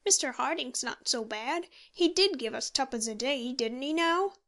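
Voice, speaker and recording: Woman acting timid old man, apologetic, wavering, slightly high, storybook pensioner. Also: measured pace.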